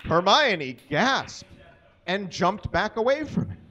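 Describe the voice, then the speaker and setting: A man in his thirties gives a live reading of a story from a small stage. His voice sits a little high and swings widely in pitch. He speaks into a microphone as a performer reading from a page. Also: sing-song, slow pace, pushed and bright, muffled recording. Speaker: reader at a live comedy show. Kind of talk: dramatic reading of fan fiction to an audience. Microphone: handheld or stand microphone.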